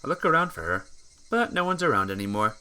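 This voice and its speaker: A playthrough host reading a visual novel aloud as expressive, dramatic scripted narration. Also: mid-range voice, fast talker, clean tone. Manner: dramatic reading, acts out dialogue.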